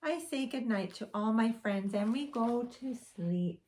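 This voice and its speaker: A young woman teaching preschool, reading a picture book aloud to children in a lively storytime voice. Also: unhurried. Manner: animated storytime voice with big pitch swings.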